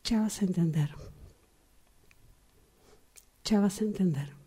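A woman's voice: medium pitch, brisk, warm tone, husky, lightly acted. A woman playing a defensive, evasive character in an improvised radio drama, repeating herself insistently. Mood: evasive, pleading. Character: defensive, evasive woman answering a suspicious wife.

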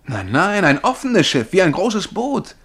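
An actor playing a dreamy, self-assured young amateur poet in a radio drama, with an offhand way of talking. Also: male voice, sits high, big pitch swings, a little slow, vocal fry, fairly bright tone, breathy edge, heavily acted. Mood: insistent. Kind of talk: German radio drama acting.

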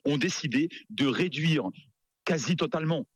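A man giving live radio analysis as a geopolitics expert, emphatic but halting, repeating words as he searches for the next. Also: on the high side, lively intonation, husky, dry recording.

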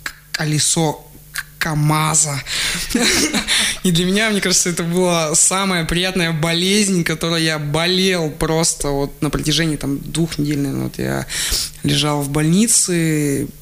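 A man, a guest on a radio show, telling childhood stories in a relaxed way, laughing often and restarting his sentences. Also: on the high side, measured pace, vocal fry. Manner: chatty, laughs often, many fillers and restarts. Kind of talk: relaxed radio interview storytelling. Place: radio studio. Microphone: studio microphone.

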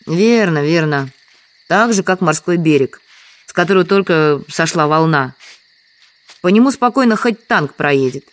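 A woman's voice: fairly low pitch, expressive, measured pace. An actress playing a humble, trusting village woman in an audio drama, explaining things simply. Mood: matter-of-fact.

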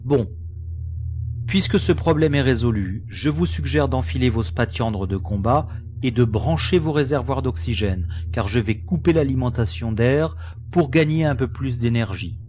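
A man playing a frank, resolute starship pilot in a science fiction audio drama, explaining things in a measured way. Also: mid-range voice, expressive, darkish tone, natural voice.

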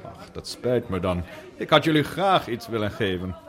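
An actor playing a jovial, generous royal prince in a radio drama, genial and a little patronising. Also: male voice, on the low side, very animated, normal speed. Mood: insistent, benevolent. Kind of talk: Dutch radio drama acting. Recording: outdoor scene, faint crowd behind.

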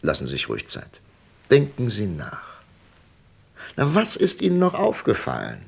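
Patient, creaky-voiced male investigator. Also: on the low side, huge pitch swings, exaggerated voice. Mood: patient, coaxing. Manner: deliberate, coaxing questioning with thoughtful pauses. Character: patient, methodical male FBI investigator, probing and shrewd. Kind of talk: German radio crime drama acting.

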